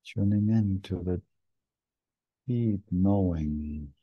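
A man leading a guided meditation as a teacher, speaking gently in short phrases with long pauses. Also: deep voice, slightly rough, no room sound, lo-fi sound.

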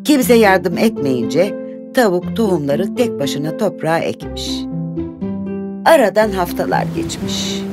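A woman's voice: on the low side, sing-song, unhurried. A woman giving scripted narration of a children's fairy tale. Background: music.

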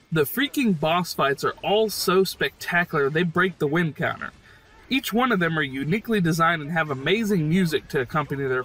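Bright, higher-voiced man doing game commentary. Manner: emphatic, fannish, informal. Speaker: host narrator of a video game praise series. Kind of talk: enthusiastic scripted game commentary.

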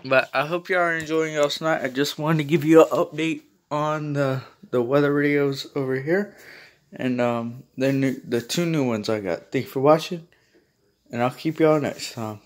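A man chatting casually and haltingly as he films a home vlog. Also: fairly high pitch. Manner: halting, informal. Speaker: video creator showing a weather radio collection. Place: small room at home, indoors. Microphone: handheld phone or camera held close.

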